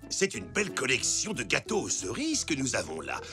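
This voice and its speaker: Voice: mid-range voice, huge pitch swings, moderate pace. A performer playing a genial zebra father who runs a contest in a French children's cartoon, with a French accent. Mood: cheerful, welcoming. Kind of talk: animated children's cartoon dub. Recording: clear music behind the voice.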